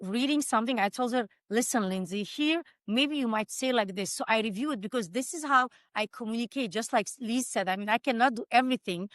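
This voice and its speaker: A woman's voice: on the high side, a little fast, hardly any pauses, no room sound. Armenian-accented woman, earnest, acts out lines while she explains, dry close sound. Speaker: author. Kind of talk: panel discussion.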